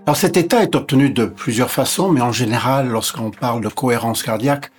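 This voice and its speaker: A man whose first language is English, speaking as a physician guest and explaining step by step in a measured way that lists and repeats points. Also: mid-range voice, soft background music. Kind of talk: explanatory interview talk.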